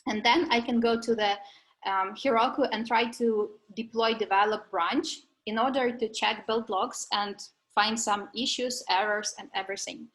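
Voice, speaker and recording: A woman's voice: on the high side, fairly even intonation, moderate pace, a bit bright. A young woman from Ukraine, giving a methodical, step-by-step technical presentation at a coding school graduation.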